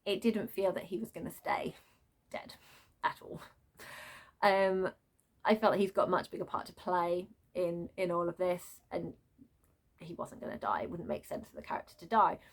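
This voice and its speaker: A woman giving an unscripted, chatty book rant as a reviewer, exasperated and prone to animated asides. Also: lowish voice, unhurried, pauses often. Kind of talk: unscripted book rant, casual vlog chat.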